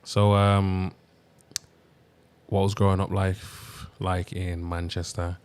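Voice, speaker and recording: A man hosting an interview, asking slow, hesitant questions full of fillers. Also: sits low, little pitch movement, a little mellow, smooth. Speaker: podcast host. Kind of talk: casual interview.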